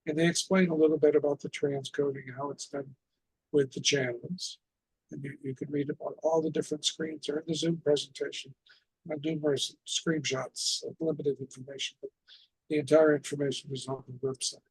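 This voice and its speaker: A man with a somewhat high, husky voice gives an informal talk as the host of an amateur radio net. His intonation stays quite flat, and he explains haltingly, often repeating himself as he goes. The sound is close and dry. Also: no echo, rough recording. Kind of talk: informal instructional presentation over a radio net.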